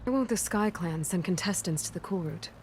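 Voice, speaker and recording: An actress playing a young outlander warrior in a video game, plain-spoken, probing and quietly skeptical. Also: female voice, fairly low pitch, lively intonation, brisk, a little hiss or hum, natural voice. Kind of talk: dramatic video game dialogue. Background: music.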